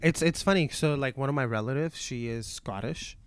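A man's voice: medium pitch, brisk, clean tone, no room sound. A man from Pakistan with a mostly American accent in his second-language English. He talks casually and agreeably, spinning personal anecdotes as a podcast guest. The recording is close and dry. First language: non-native English, learned as second language. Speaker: comedian guest.

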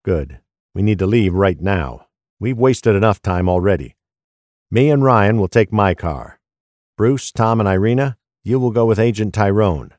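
A man's voice: fairly low pitch, expressive, unhurried, darkish tone, no room sound, studio quality. A man playing a grave, commanding leader in a crime audio drama, giving firm, urgent instructions. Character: grave, commanding man leading a fleeing group.

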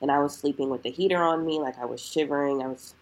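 A woman with a very low voice, chatting casually as a podcast co-host. Her voice is nasal and stuffed up from congestion. She is from Cincinnati. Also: fairly even intonation, quick pace, low-quality recording. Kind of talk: casual chat.

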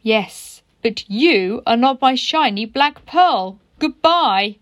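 A woman's voice: on the high side, lively intonation, slow, fairly bright tone, no room sound, clean studio sound. A woman voicing a tender, heartbroken young female caterpillar in a read-aloud storybook. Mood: pleading, hurt.

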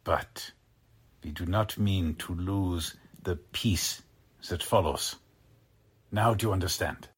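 A man acting a scheming, haughty military officer and spymaster in a 1940s-style radio drama, with an imperious, theatrical, deliberate manner. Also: sits low, husky, heavily acted. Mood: conspiratorial.

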